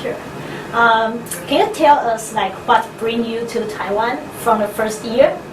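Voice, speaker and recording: A woman's voice: a little high, a little slow. Polite, hesitant woman interviewer, roomy sound. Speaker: interviewer, university teacher. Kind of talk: interview questions. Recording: echoey room recorded from a distance with noise.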